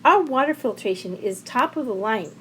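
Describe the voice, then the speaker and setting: A proud male restaurant waiter from an audio drama, acted out by a woman. He is flustered and defensive, with raised pitch and an emphatic push. Faint noise sits behind the voice. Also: lively intonation, normal speed, close to an everyday voice, poor audio. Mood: defensive, disbelieving. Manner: stammering and emphatic when challenged.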